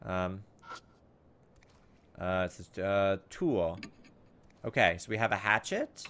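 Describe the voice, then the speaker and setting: A man's voice: low voice, lively intonation, slow, a bit bright. A man giving casual gameplay commentary as a let's play host, thinking aloud in a hesitant, musing way.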